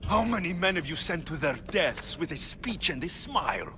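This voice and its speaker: A man's voice: on the high side, big pitch swings, moderate pace, bright, forceful tone, slight background noise, exaggerated voice. A man playing a wry, steadfast mercenary hero in a video game, with a dry, teasing, resolute manner. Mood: firm, urging. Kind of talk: video game cutscene acting. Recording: game music behind the voice.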